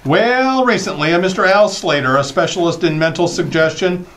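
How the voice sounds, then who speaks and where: A man's voice: high voice, moderate pace, a bit bright. A man playing a wisecracking radio comedy host, quipping in a dry, folksy way in old-time radio style.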